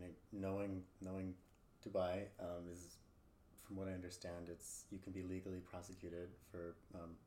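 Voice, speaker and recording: A man's voice: low voice, dark tone. A man hosting a conversational interview podcast, feeling his way through his questions as he asks them. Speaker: podcast host interviewing a guest. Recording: roomy echo, background room noise.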